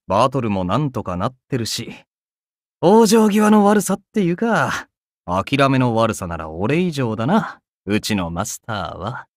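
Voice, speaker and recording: Young game archer: roguish, wry, teasing slacker, casual drawl, animated intonation, dry studio sound. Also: male voice, medium pitch, no room sound. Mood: resigned, loyal. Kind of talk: Japanese mobile game character voice lines.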